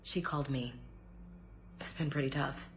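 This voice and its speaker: A woman's voice: very deep voice, fairly even intonation, normal speed, music in the background. An actress playing a tough but guarded female soldier in a video game, speaking frankly and earnestly. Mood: subdued, vulnerable. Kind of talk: dramatic video game dialogue.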